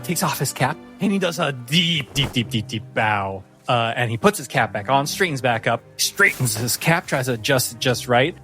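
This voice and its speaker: A man working as game master, improvising dramatic, deliberate narration for a tabletop role-playing game. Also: fairly high pitch, pushed and bright. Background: music.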